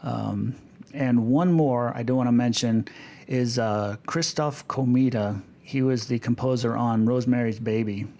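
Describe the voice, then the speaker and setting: A man speaking as a guest in a casual podcast discussion, in a halting, conversational way that repeats phrases for emphasis. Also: medium pitch, darkish tone. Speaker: podcast guest, film composer. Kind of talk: casual podcast discussion about film music.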